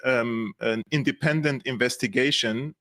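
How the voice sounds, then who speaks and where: A man's voice: medium pitch, normal speed, pushed and bright. A man with a Syrian accent, a guest in a political interview, speaking earnestly and emphatically with frequent restarts. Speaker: guest commentator.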